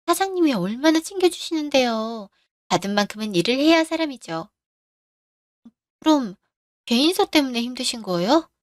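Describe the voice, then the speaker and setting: Woman doing a chatty young employee, polite and upbeat, bright-ish high voice. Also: very animated, moderate pace, pauses often, no echo, clean studio sound. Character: cheerful young female shop employee. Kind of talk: Korean radio drama.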